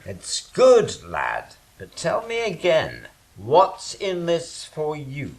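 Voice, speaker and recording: A man playing a gruff, scheming leader of an invading army who speaks in a sly, teasing, knowing way. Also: on the high side, huge pitch swings, slow pace, exaggerated voice, poor audio.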